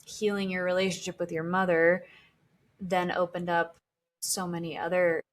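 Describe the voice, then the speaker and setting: A young woman from Costa Rica hosts a podcast interview. Her voice sits a little low, and she talks in a reflective, curious way. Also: little pitch movement, normal speed. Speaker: podcast host.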